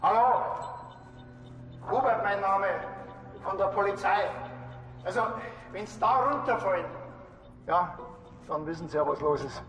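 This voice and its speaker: An actor playing a dry, laconic Bavarian policeman in a TV crime comedy, colloquial and deadpan. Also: high voice, a little slow, long pauses, long reverb tail, over music, poor audio.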